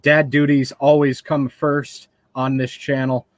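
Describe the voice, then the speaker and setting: A man's voice: mid-range voice, level tone, unhurried, no echo. A man in his twenties hosting a live online fishing interview from a home studio, effusive and complimentary toward his guest. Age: 20s. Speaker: host of a fishing channel.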